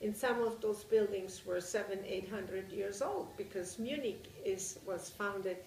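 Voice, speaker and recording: An older woman from Germany with a Bavarian German accent, recounting her memories in an interview, often pausing to search for words. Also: mid-range voice, creaky, a little mellow, roomy sound, distant mic, a little hiss or hum, poor audio. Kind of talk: oral history interview.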